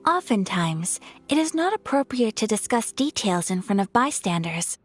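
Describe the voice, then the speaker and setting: A woman voicing a composed young noblewoman in a video game, speaking in a formal, measured and polite manner. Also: mid-range voice, sing-song, over loud music, close to an everyday voice. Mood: calm formal. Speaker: noble of a ceremonial commission.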